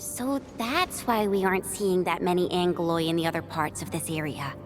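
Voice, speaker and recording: A woman voicing a gentle, thoughtful female companion in a video game. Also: lowish voice, moderate pace, close to an everyday voice. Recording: faint music behind the voice.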